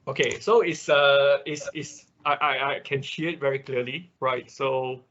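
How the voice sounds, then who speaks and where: A man with a bright, forceful voice teaches an online class in a casual, step-by-step way. He keeps adding little confirming tags as he explains. The sound is dull, lo-fi call audio. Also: mid-range voice, steady tone, unhurried, slight background noise. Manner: frequent confirming tags like "right" and "okay". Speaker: instructor leading an online robotics class. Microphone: video call.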